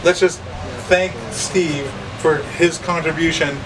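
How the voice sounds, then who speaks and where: A man's voice: on the high side, steady tone, takes it slowly, crowd noise. A middle-aged man hosting a casual walking tour of a workshop, introducing people with showman-like enthusiasm. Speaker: vlog host touring a shop. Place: indoor workshop and warehouse. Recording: distant voice with room noise.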